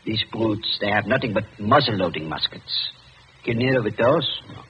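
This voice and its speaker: An actor playing an older German doctor in a radio drama, genial but evasive and nervous, with a halting, repetitive way of talking and a German accent. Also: male voice, fairly low pitch, animated, pushed and bright. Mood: condescending, uneasy. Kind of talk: old-time radio drama acting. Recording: muffled, noisy old radio broadcast.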